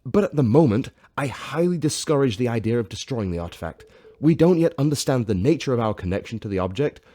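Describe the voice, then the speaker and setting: A man acting as an earnest professor in a sci-fi radio drama. His medium-pitched voice is husky and swings expressively through his formal, academic phrasing. He sounds like a curious researcher addressing colleagues. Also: warm tone. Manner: formal, academic, measured wording. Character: earnest, curious male scientist professor on a starship.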